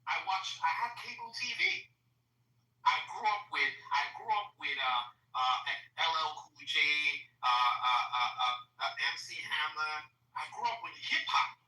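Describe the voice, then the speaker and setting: A woman's voice: deep voice, lively intonation, a little slow, sharp tone. A woman in her forties from Nigeria with a Nigerian accent, arguing her point insistently in a heated conversation. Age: 40s. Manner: emphatic, insistent, repeats herself while making a point. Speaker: discussion participant. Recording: roomy echo, distant mic.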